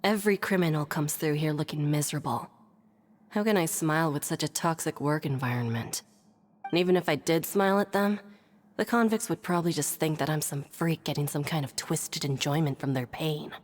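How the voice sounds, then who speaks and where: A woman's voice: sits low, a little fast. A woman playing a jaded, world-weary prison clerk with a dry, sardonic manner in a video game. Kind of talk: English video game voice acting.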